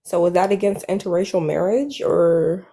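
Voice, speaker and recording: Soft-spoken young woman caller. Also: lowish voice, moderate pace. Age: 20s. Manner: soft, hesitant, youthful-sounding. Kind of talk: casual live stream conversation.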